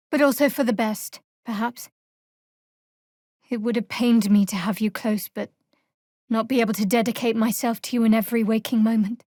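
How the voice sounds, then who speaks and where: A young female cleric sworn to a dark goddess in a fantasy video game. Her voice sits a little high, with a measured, cool and guarded delivery. She sounds secretive and dry in manner, captured in a very dry studio-like recording. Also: no echo. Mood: tender, regretful. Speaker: video game character actor.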